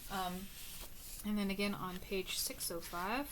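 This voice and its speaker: A woman reading aloud from book notes in a casual, musing way, with many ums and uhs, often trailing off softly. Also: medium pitch, unhurried, heavy background noise. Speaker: book reader and annotator.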